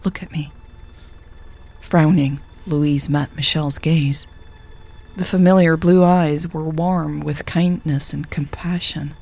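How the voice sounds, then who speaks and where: A woman's voice: deep voice, fairly even intonation, takes it slowly, vocal fry, mellow, faint music behind. A woman reading a scripted story as a narrator, voicing the dialogue as she goes. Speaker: audio fiction narrator.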